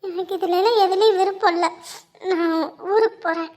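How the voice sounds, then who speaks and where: A woman's voice: extremely high pitch, fairly even intonation, fairly quick, a little mellow, voice pushed down. A woman doing a curt, sullen young bride-to-be. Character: quiet stubborn young woman refusing marriage.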